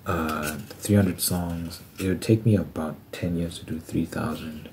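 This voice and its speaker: A man's voice: low voice, steady tone, a little slow, background noise. A man in his twenties chatting casually on an ASMR channel, musing aloud and doing sums as he talks to himself. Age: 20s. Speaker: ASMR creator and musician. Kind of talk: casual rambling ASMR chat.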